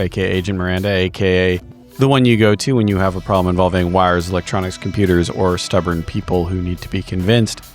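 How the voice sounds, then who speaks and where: A man with a wry, wordy, articulate way of talking, narrating like a tabletop roleplaying podcast player. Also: low-pitched. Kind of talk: casual banter and narrated session recap. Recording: music behind the voice. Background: music.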